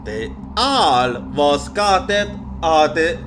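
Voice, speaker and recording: A man who is a non-native English speaker tells a ghost story in ASMR style, halting and repeating words. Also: a little high, animated, noisy background. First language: not English. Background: music.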